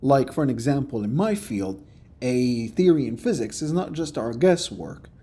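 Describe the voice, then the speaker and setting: A man giving a measured, explanatory commentary for a response video, recorded on a phone. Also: medium pitch, expressive, mellow.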